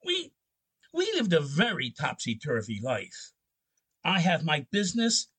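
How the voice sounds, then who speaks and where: A male actor plays a nervous, well-mannered husband in a 1940s-style radio drama. His husky voice jumps up and down in pitch. He answers haltingly, courteous but defensive. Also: huge pitch swings, a bit bright, full character voice. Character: flustered, hesitant, well-mannered husband under questioning.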